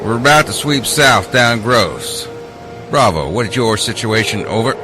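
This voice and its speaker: A man playing a steady, businesslike soldier reporting in a radio drama, curt and matter-of-fact. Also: unhurried, over music. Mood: composed, grim. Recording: noisy field radio feed, vehicle rumble.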